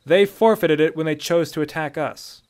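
A man's voice: fairly high pitch, moderate pace, a little mellow, pitched above the performer's own voice, natural voice. A man voicing a stern, unyielding Imperial commander in a fantasy story, curt and firm. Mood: cool, menacing.

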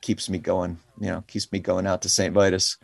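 A man's voice: sits low, little pitch movement, normal speed, creaky, dry recording. Middle-aged man, rambling enthusiastic talker. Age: middle-aged or older. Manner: rambling, enthusiastic, frequent "you know" and "like". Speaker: musician being interviewed. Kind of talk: casual, reflective interview conversation. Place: calling in remotely to a podcast.

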